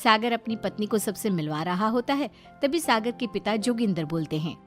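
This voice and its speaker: A woman's voice: mid-range voice, animated, normal speed, soft background music. A woman giving scripted storytelling narration as the narrator of a moral tale.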